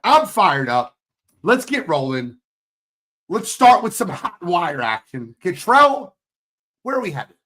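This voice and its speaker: A hoarse man on a sports podcast, his pitch leaping around as he talks. He teases his co-host and sounds fired up, in a relaxed chat style. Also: slow, long pauses. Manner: animated, teasing, fired up.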